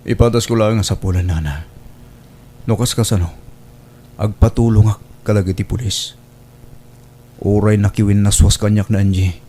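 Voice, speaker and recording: A man's voice: fairly low pitch, fairly even intonation, moderate pace, pauses often, dark tone, lightly acted. A man playing a devoted, respectful young provincial suitor in a family radio drama. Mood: worried, resolute.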